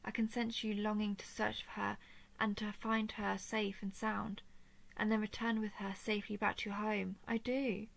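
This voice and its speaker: Woman acting as gentle female dragon, audio roleplay, formal measured wording, soothing, soft breathy phrase endings, creaky, evenly pitched. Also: flat delivery. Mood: earnest reassurance. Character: gentle, protective female dragon, earnest empathic royal daughter. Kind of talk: scripted roleplay audio drama.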